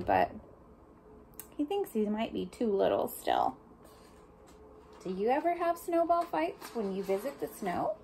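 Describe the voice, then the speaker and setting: Animated, high-voiced woman reading to children. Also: slight background noise. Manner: expressive, questioning the listener, speaking as to small children. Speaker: storybook reader for young children. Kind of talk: read-aloud of a picture book with asides and questions to children.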